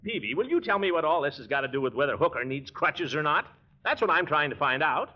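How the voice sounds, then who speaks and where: Actor as a blustery, excitable, pompous man. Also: a little high, fast talker, slight background noise, lightly acted. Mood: exasperated. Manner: blustery, chuckling, easily riled. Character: blustering, exasperated, pompous middle-aged man. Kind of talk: 1940s radio comedy acting. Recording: dull 1940s radio recording.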